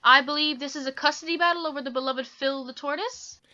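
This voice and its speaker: A woman's voice: high voice, quick pace, bright, forceful tone. A young female student playing a confident defense attorney in a radio play, recorded on a lo-fi microphone. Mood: self-assured. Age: teenager or young adult student.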